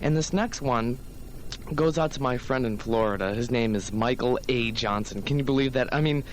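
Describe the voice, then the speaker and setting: A man's voice: mid-range voice, normal speed. A young American man hosting a 1980s college radio show, talking informally and chattily as a DJ. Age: young adult. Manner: chatty, informal, often says "you know". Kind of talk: 1980s radio show patter, introducing songs. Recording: noisy 1980s radio air-check tape.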